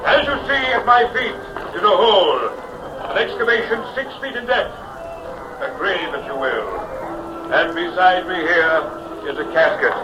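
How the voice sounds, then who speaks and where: A man's voice: high-pitched, normal speed, close to an everyday voice. An actor playing a pushy carnival showman hypnotist with theatrical, declamatory patter, in the style of mid-century radio drama. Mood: grand showmanship. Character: showman hypnotist professor, pushy, smooth-talking carnival barker. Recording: dull vintage radio recording, music behind.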